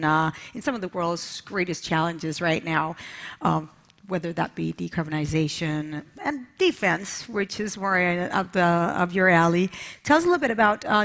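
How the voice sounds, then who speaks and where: A woman's voice: fairly low pitch, a bit bright. A woman moderating a panel and presenting an award on stage, upbeat with frequent fillers. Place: large conference hall stage.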